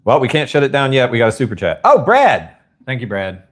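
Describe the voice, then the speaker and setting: A man's voice: mid-range voice, big pitch swings, fairly quick. A man with a Southern US accent, hosting a live stream at home in a folksy, rambling chat. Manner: rambling, folksy, says y'all and ain't. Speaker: livestream host.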